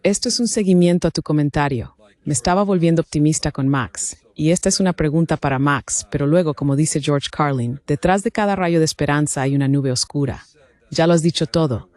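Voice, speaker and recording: A man's voice: a little high, a little mellow. A middle-aged man putting an earnest question to a panel as an audience member.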